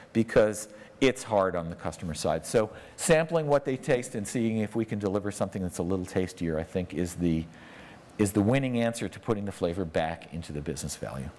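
Middle-aged guy talking on stage, lowish voice, hall reverb hanging on, keeps saying right?. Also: darkish tone. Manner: conversational, often tags lines with "right?". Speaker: conference speaker. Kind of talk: conference talk with audience Q&A. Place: large conference hall on stage. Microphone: lapel mic. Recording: long reverb of a large hall.

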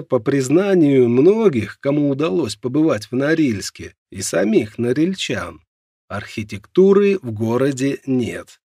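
A male narrator reads a scripted audiobook with lively, varied intonation and an unhurried pace. His voice has a gravelly creak and a slightly husky edge, and his phrasing is measured and clear. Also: mid-range voice, no room sound, clean studio sound.

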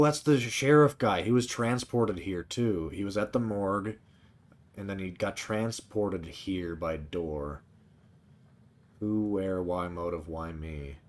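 Low-voiced man casually streaming a game. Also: a little mellow, a little hiss or hum. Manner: profane, muttering asides to himself. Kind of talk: casual gameplay commentary. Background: video game sound.

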